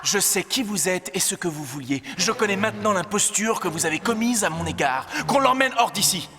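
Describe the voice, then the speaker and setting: A man's voice: high voice, expressive, brisk. A man playing an earnest young royal in a dubbed fairy-tale cartoon, speaking in a formal, courtly way. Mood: solemn, resolute. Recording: long reverb, music behind.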